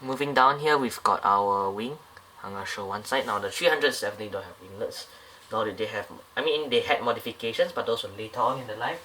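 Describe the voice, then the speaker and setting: A man's voice: fairly low pitch, fast talker, pushed and bright, a little hiss or hum, rough recording. A man casually reviewing a scale model airliner up close, talking in a loose, rambling way with many fillers and restarts.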